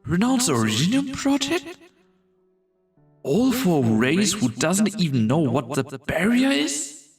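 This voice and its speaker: A man playing a brooding, world-weary strategist in a fantasy game fan dub, delivering solemn, theatrical monologues with a gravelly voice. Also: sits high, huge pitch swings, unhurried, recorded from a distance, exaggerated voice. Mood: anguished. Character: brooding, world-weary male strategist called Doctor, torn and grandiose. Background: background music.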